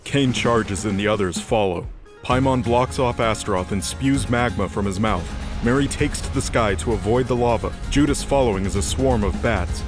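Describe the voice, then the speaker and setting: A man narrating a fantasy audio drama from a script in a measured storytelling manner. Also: medium pitch. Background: music.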